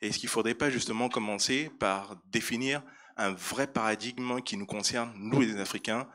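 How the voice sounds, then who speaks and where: Man, audience question, low pitch, bright sharp tone, formal. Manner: formal, searching for words. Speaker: audience member asking a question. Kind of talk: question from the floor at a conference.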